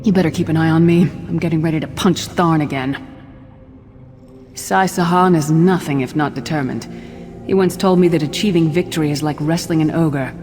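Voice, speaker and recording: A woman's voice: low-pitched, steady tone, moderate pace, a little mellow, natural voice. An actress playing a tough, loyal female warrior in a fantasy game, blunt and wry. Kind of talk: fantasy video game acting. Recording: long reverb, music behind the voice.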